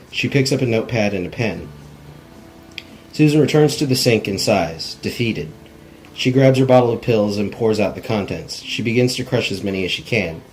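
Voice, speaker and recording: A man with a fairly low voice narrates a script's stage directions at a table read. It is an amateur recording with faint noise behind him. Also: rough recording. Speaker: screenwriter reading stage directions.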